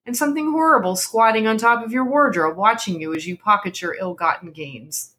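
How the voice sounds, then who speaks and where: A woman's voice: mid-range voice, brisk, fairly bright tone, pitched above the performer's own voice, lightly acted. A woman voicing a teasing young man, the playful and mocking son in a storybook reading. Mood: sceptical, joking.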